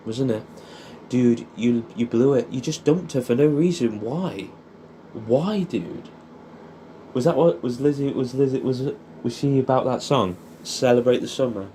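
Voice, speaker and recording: An English man talks casually, as if to an old mate, in a mellow, middle-pitched voice. He rambles and swears freely while reminiscing. There is faint noise behind him. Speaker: audio storyteller addressing an old friend. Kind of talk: casual intimate monologue, reminiscing.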